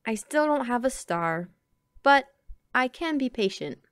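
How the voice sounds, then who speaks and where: A woman reading a children's picture book aloud with expressive, deliberate phrasing. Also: fairly high pitch, no room sound, clean studio sound. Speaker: storybook reader.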